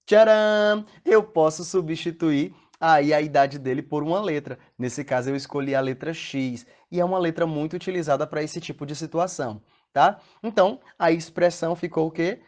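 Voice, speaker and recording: A man's voice: a little high, normal speed, a little mellow. Patient Brazilian male math teacher. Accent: Brazilian Portuguese. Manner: patient and explanatory, asks rhetorical questions, checks in with "tá?". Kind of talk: recorded classroom lesson.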